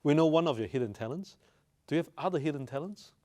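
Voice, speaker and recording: A man's voice: a little high, lively intonation, fast, mellow. A man speaking as an interview host, putting questions in a conversational style.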